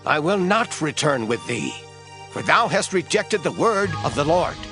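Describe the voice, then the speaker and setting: Guy acting stern bible prophet, solemn rebuking delivery, big pitch swings, soft music behind. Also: very animated, measured pace. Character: stern, righteous prophet delivering divine judgement. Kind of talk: dramatized scripture acting.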